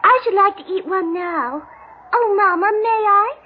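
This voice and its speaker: An actress playing a fretful, affectionate, childlike daughter in a 1960s radio drama, speaking in a prim, melodramatic way. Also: female voice, very high-pitched, a little slow. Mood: girlish, pleading. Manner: melodramatic, prim, old-fashioned turns of phrase. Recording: muffled, noisy 1960s radio recording.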